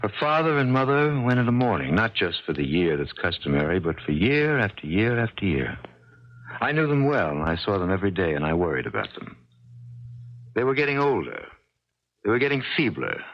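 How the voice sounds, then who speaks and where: A man acting in an American 1970s radio drama as a strange, grieving older man, telling things slowly and deliberately with folksy certainty. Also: low voice, very animated, muffled recording, full character voice. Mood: sorrowful. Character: strange, grieving older man guarding a dead sweetheart's memory.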